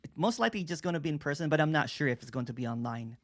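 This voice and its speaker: A man hosting a casual talk on nonduality over a video call, relaxed and halting, with many "uh"s. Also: fairly high pitch. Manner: relaxed, halting with "uh", laughs easily. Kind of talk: casual chat.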